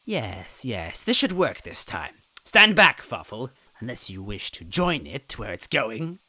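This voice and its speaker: A man's voice: medium pitch, big pitch swings, measured pace, sharp tone, heavily acted. A man voicing a cruel scheming old female bean witch in a storybook reading, sneering and swinging from hisses to screams. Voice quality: raspy, cackling. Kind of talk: storybook reading with character voices.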